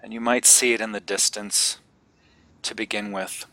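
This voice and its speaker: Male meditation guide: lowish, crisp-edged bright voice, gentle and unhurried, leaving long pauses. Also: steady tone, rough recording.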